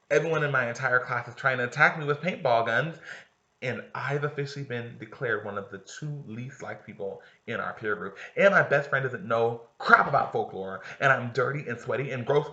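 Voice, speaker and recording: A moody know-it-all teenage girl, voiced by a man reading a novel aloud, sarcastic and lecturing. Her voice sits higher and sounds sharp. The room adds echo, and the sound is a little dull. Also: expressive, normal speed. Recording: roomy, slightly dull recording.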